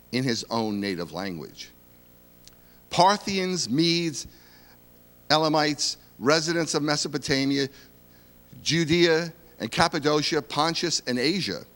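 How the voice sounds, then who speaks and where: Slow expressive man reading scripture. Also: a little high. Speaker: scripture reader. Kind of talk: Bible reading. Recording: reverberant hall.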